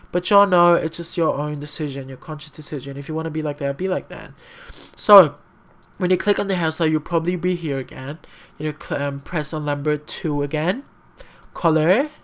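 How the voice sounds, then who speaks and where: A young adult whose first language is not English, talking through a casual screen-recorded tutorial in a rambling, chatty way, with many fillers and frequent apologies. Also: medium pitch. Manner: frank, slightly sassy asides. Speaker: tutorial maker.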